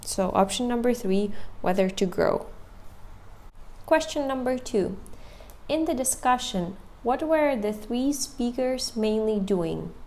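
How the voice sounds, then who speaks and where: A woman's voice: a little high, expressive, slow pace, a little mellow. A woman explaining listening test questions and answers, step by step and methodically. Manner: methodical, repeats options step by step. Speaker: English test prep teacher.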